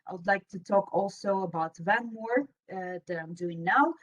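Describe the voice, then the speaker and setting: A young woman with a Russian accent gives an informal presentation as a panel speaker in an online meeting. Her voice sits at a medium pitch. She is a native speaker of Russian. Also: moderate pace. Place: online video meeting with screen sharing.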